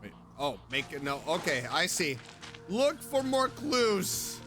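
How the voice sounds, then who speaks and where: A man doing a casual game playthrough. His voice is high and extremely animated, though his pace is slow as he thinks aloud. Game music plays clearly behind him. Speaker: streamer.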